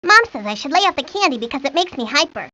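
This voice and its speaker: A woman's voice: high-pitched, big pitch swings, fast, bright, forceful tone, no echo, exaggerated voice. A woman voicing a feisty, chatty tomboy of a little girl in a children's cartoon game. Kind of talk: cartoon game character lines. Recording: dull lo-fi old game audio.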